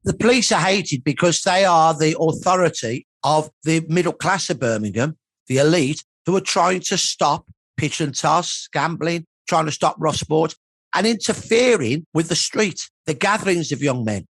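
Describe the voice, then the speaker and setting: A man's voice: a little high, expressive, moderate pace, a bit bright, husky, dry recording. A man explaining history emphatically as an expert in a documentary interview, repeating phrases for stress. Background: faint background music.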